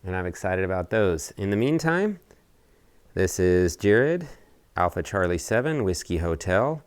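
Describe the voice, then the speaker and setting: A man presenting a casual explanatory hobby video update outdoors, talking in deliberate, measured phrases. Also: low-pitched, very animated, smooth, dry recording. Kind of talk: casual explanatory vlog talk. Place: outdoors on a house deck.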